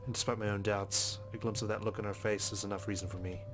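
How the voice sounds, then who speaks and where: An actor playing a dogged, skeptical male police detective in a visual novel game, speaking in a measured, serious, matter-of-fact way over music. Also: lowish voice, level tone, lightly acted. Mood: brooding, resolute. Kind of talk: scripted visual novel narration and dialogue. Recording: music behind the voice.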